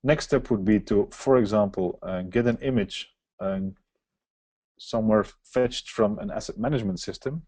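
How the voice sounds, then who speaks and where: Man for a webinar software walkthrough; explanatory, mellow tone, dry close sound. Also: fairly low pitch, normal speed, no echo. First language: Dutch. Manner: explanatory, walks through on-screen steps. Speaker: software presenter. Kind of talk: live software demo webinar.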